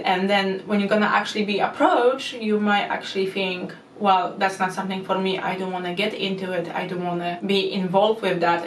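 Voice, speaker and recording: A woman's voice: mid-range voice, recorded from a distance, a little hiss or hum. A woman from Poland with a Polish accent, earnestly explaining a topic to her viewers in a casual monologue, her phrasing non-native and full of restarts. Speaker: YouTuber. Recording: roomy echo, speaker away from the mic.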